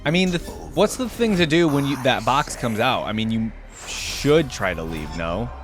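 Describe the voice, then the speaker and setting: A man's voice: mid-range voice, lively intonation, moderate pace, faint music behind. A man giving casual reaction commentary, thinking aloud in a tentative way and sometimes reading text out. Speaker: reaction video host.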